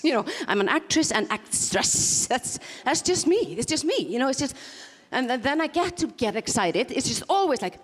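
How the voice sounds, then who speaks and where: A woman's voice: a little high, big-room sound. A woman from Iceland with a mixed Icelandic, Scottish and English accent, doing self-mocking stand-up comedy on a conference stage and laughing at her own jokes. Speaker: stand-up performer.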